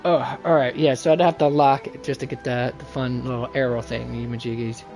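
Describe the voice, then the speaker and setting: A man's voice: mid-range voice, little pitch movement, a little fast, darkish tone, soft background music. A man doing casual game livestream commentary, rambling and thinking aloud to his viewers. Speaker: game streamer.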